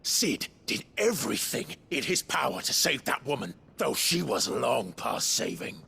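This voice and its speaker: A man playing a grim, defiant outlaw swordsman in a video game, gravelly and intense, with terse, brooding delivery. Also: medium pitch, sing-song, measured pace, bright, forceful tone, full character voice. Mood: defiant, resolute. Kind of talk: dramatic video game acting.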